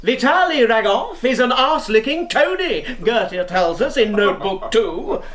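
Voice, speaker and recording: Boozy snarky spy boss, slow and swingy, echoey old radio play. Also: male voice, very high voice, sing-song, fairly bright tone, exaggerated voice. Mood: sardonic. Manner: tipsy, sardonic, theatrical. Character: boozy sardonic male British intelligence officer. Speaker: intelligence officer. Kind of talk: radio drama acting.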